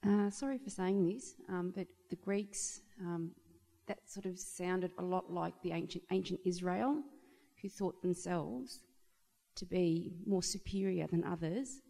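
Low, mellow-voiced woman in a hall. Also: a little slow, gravelly. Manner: hesitant, many fillers, heartfelt. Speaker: audience member. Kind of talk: Q&A discussion. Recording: long reverb of a hall.

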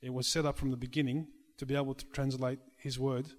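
A man's voice: medium pitch, level tone, quick pace. A man who chants in church, speaking in a question-and-answer discussion, earnest and conciliatory, often restarting his sentences. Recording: long reverb of a hall.

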